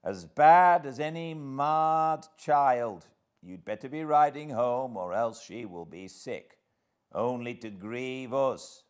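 A man's voice: lowish voice, takes it slowly, darkish tone, pitched above the performer's own voice. A man voicing an outspoken, exasperated servant woman, blunt and scolding, in an audiobook. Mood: exasperated, advising. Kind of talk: audiobook character acting.

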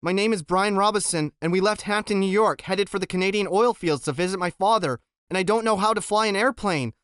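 A man's voice: high voice, fairly quick, fairly bright tone, smooth, no echo, clean studio sound, pitched above the performer's own voice. A man voicing a frightened teenage boy alone in a small plane, calling for help in a raised, pleading voice.

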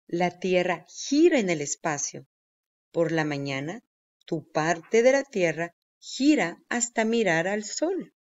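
Slow-paced woman reading a children's book. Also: lowish voice, very animated, a little mellow. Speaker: read-aloud narrator. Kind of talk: children's nonfiction book read-aloud. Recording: dull, lo-fi sound.

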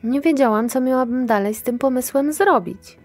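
A woman's voice: high-pitched, normal speed, darkish tone, dry recording, soft background music. An actress playing a wary, cynical, sweary woman moonlighting in underground sex work, in a radio play. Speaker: actress in an audio drama.

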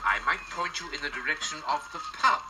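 An actor playing an officious, deferential older Home Guard sergeant with a pompous English manner in a radio drama. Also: male voice, on the high side, very animated, fast, very noisy. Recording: music behind the voice.

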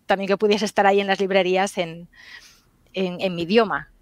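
A woman in her forties from Galicia whose first language is Galician, speaking as a historian guest over a video call with thoughtful pauses and tag questions. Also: medium pitch, fairly even intonation, no echo. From Galicia, Spain. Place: home study lined with bookshelves. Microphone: headset on video call.

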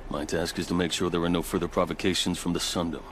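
A man's voice: deep voice, flat delivery, fast, slight background noise, natural voice. A man playing a dutiful, diplomatic guard captain in a video game, courteous and formal in his speech. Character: composed male gate captain, diplomatic and dutiful. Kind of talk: dramatic video game acting. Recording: music behind the voice.

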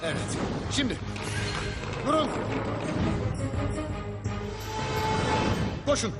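A man's voice: very high voice, big pitch swings, normal speed. A man voicing a brave, commanding and wry adventurer father in a cartoon. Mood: tense, commanding. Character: brave, protective adventurer father, cool-headed. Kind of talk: animated cartoon dubbing. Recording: loud music behind.

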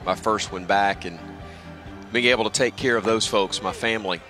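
A man's voice: fairly low pitch, fairly even intonation, normal speed, music in the background. A middle-aged man chatting as a guest in a broadcast booth interview, self-deprecating and reflective. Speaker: guest, radio play-by-play announcer. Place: stadium press booth at a baseball game. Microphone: broadcast headset. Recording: outdoor ballpark ambience behind voice.

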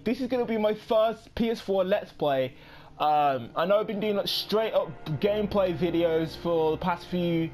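A young man in his late teens giving casual let's play commentary, rambling with many pauses and quick apologies. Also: on the high side, darkish tone. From United Kingdom. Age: young adult. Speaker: gaming YouTuber.

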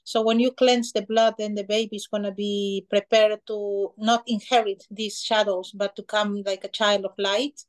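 Middle-aged woman, flat even voice, slow reverent speech, webcam audio. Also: medium pitch. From Peru. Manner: slow, soft-spoken, reverent gratitude lists. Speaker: shamanic healer guest. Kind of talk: spiritual talk on a video call. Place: small decorated room indoors. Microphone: video call webcam.

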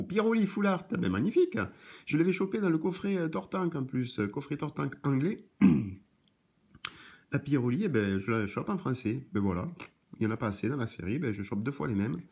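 A slightly hoarse man, off camera, rattling off card names while opening trading card packs, with chatty asides to viewers. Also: mid-range voice, darkish tone. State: slightly hoarse, clearing throat. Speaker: card shop owner and YouTuber. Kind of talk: casual card-opening vlog. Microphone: camera framing hands and cards, speaker unseen.

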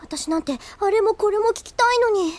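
A woman's voice: very high voice, brisk, dry recording. A woman playing an earnest, easily flustered, self-doubting teenage schoolgirl in an anime audio drama. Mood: puzzled. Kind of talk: anime audio drama acting, with inner monologue.

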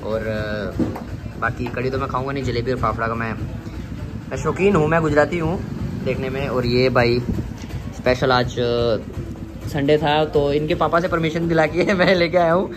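A man's voice: medium pitch, steady tone, normal speed, background noise. A man chatting casually to camera as a travel vlogger, often repeating his phrases. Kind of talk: casual vlog chat to camera.

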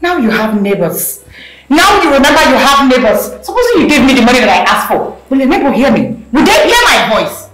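An actress playing a demanding young girlfriend, shouting and mocking. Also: high-pitched, very animated, a little fast. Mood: scornful. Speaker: actor. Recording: echoey room with loud music behind.

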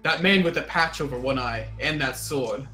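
A man's voice: medium pitch, normal speed, bright, forceful tone. A man casually commenting on a game and reading its dialogue aloud as he streams. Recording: game music clearly behind.

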